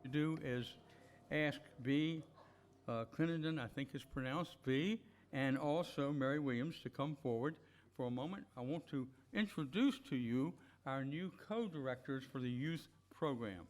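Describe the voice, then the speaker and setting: A man's voice: medium pitch, moderate pace, slight background noise. A man leading a church service, giving blessings and announcements in an earnest, unscripted, encouraging way.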